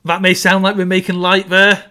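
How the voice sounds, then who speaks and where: A man, co-hosting a casual film chat over a video call with quick asides. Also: high voice, monotone, bright, forceful tone. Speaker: podcast co-host. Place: home room on a video call.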